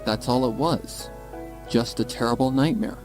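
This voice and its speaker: A man narrates a horror fan story in a mid-pitched voice. It is a scripted reading, with faint music behind him. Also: moderate pace.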